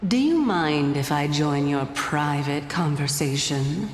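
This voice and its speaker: An unhurried, lowish-voiced female sage from a fantasy game. She is playful, enigmatic and poised, teasing without ever losing composure. Her voice rings in a reverberant hall with music behind it. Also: animated. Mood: teasing, amused. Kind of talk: video game voice acting.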